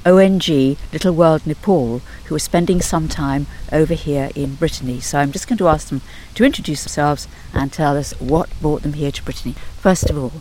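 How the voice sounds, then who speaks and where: A man's voice: on the high side, brisk, hardly any pauses, darkish tone, slight background noise, poor audio. A man interviewing guests in a chatty, encouraging way. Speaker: radio interviewer. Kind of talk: casual interview.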